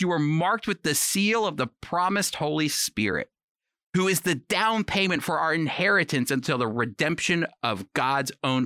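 Guy teaching scripture, earnest and conversational, bright punchy voice, really dry recording. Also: a little high, no echo. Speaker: Bible teacher on a radio program.

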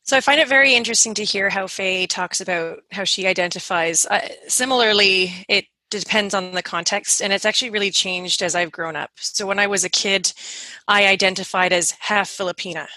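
Bright, earnest woman, close dry sound. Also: mid-range voice, brisk, no echo, poor audio. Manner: earnest, conversational, some restarts. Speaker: guest, environmental law partner. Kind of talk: candid interview answers.

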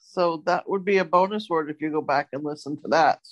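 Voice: medium pitch, fairly quick, dull audio. A podcast co-host chatting casually with a group and explaining things in an informal way.

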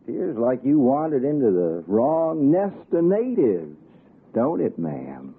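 A man's voice: mid-range voice, sing-song, slow, slight background noise. An actor playing a folksy, falsely soothing country lawman with an Appalachian accent and mock-polite manner in a radio drama. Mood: sly, amused. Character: sly backwoods lawman, falsely soothing, kin to hillbillies. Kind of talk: 1960s radio suspense acting. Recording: tinny 1960s radio recording.

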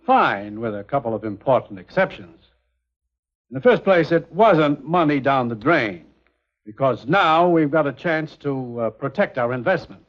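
Animated, authoritative American commissioner, played by a man. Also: medium pitch, normal speed, close to an everyday voice. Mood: businesslike. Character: businesslike, authoritative male intelligence commissioner. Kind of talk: 1950s television spy drama acting. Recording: dull vintage TV soundtrack.

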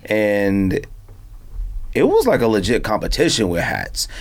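Animated, slangy American man. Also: lowish voice, a little slow. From United States. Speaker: podcast host. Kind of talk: casual chat about sneakers and hats. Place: podcast studio.

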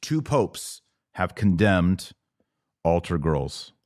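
A man with a low voice delivers religious commentary as a podcast host, recorded close and dry. His intonation swings widely as he teaches, leaning hard on key words with deliberate pauses and repetition. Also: big pitch swings, darkish tone, no room sound. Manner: didactic, emphatic, with deliberate pauses and repetition for stress. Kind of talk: religious commentary, explanatory monologue.

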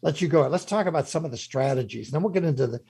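A man's voice: fairly high pitch, rapid-fire, vocal fry, a little mellow, breathy edge. A man hosting a radio interview show, talking in a conversational way with a few restarts.

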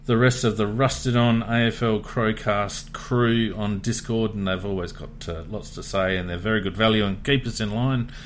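Australian male podcast host, casual, low voice, faint background hiss. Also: moderate pace, few pauses. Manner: relaxed, lots of uh fillers. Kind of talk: casual sports podcast chat.

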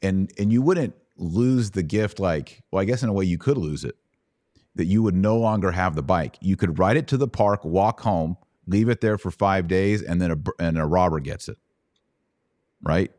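Middle aged american guy, real deep voice, explains bible stuff like a chat, keeps checking you follow. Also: normal speed, a little mellow, no room sound, clean studio sound. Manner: explanatory, checks understanding with short questions. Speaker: host answering Bible questions. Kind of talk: conversational religious teaching.

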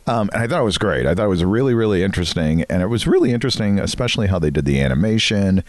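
A man's voice: deep voice, expressive, very fast, dry recording, clean studio sound. A man co-hosting a podcast, telling anecdotes casually in a jokey, self-deprecating way. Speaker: podcast co-host.

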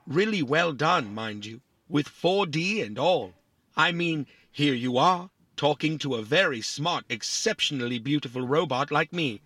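An actor playing a small, vain, philosophical robot in a family audio drama, boastful and politely fussy. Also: male voice, a little high, animated, unhurried, bright, forceful tone, no room sound, heavily acted. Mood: vain, musing.

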